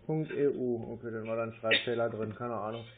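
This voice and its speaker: A man's voice: medium pitch, steady tone, a little fast. A man chatting casually on the phone in a matter-of-fact, slightly hesitant, colloquial way. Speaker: scam-baiting call recipient posing as customer. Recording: tinny band-limited phone call.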